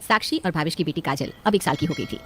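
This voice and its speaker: A woman's voice: sits low, expressive, fast talker, fairly bright tone, slight background noise. A woman narrating a scripted cartoon family story as its storyteller.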